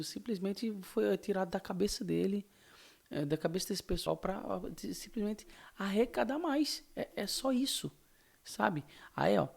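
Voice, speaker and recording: A man's voice: fairly high pitch, gravelly, darkish tone. A man with a Brazilian Portuguese accent giving informal political news commentary as a channel host, emphatic and indignant, repeating points and posing rhetorical questions.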